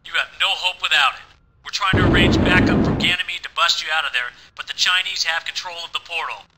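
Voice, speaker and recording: High-voiced urgent military commander played by a man, very noisy. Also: fast talker, lightly acted. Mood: worried. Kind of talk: game mission briefing.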